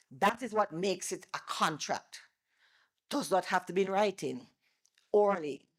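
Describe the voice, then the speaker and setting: A middle-aged Jamaican woman, an attorney, giving a loud, animated seminar presentation with worked examples to a live audience on a microphone. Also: on the low side. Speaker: attorney and former bar president giving a seminar. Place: seminar room before an audience. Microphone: microphone she moves away from.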